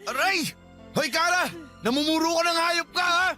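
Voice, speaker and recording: A man's voice: very high voice, normal speed, pushed and bright, faint music behind, full character voice. A man playing a defensive, agitated cheating husband who shifts blame in a radio drama. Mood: defensive, angry. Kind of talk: Tagalog radio drama acting.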